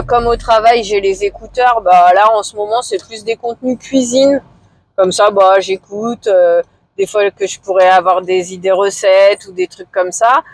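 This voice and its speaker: A woman in her forties talking casually to camera in a vlog, hesitant and low-key, with many fillers and pauses. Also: no room sound, low-quality recording. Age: 40s. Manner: low-key, somewhat discouraged. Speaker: vlogger.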